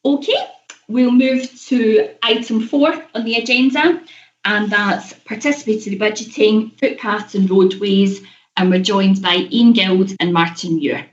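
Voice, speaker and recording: A woman's voice: medium pitch, measured pace. A woman chairing a formal committee meeting, moving business along and addressing those present. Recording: echoey, muffled video-call audio.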